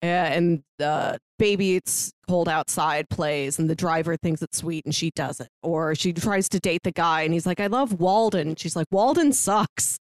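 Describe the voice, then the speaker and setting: A woman's voice: lowish voice, a little slow, hardly any pauses, dry recording. A woman co-hosting a podcast, casually recapping films and acting out lines of dialogue as she retells them. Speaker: podcast co-host. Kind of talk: casual film discussion, recapping scenes.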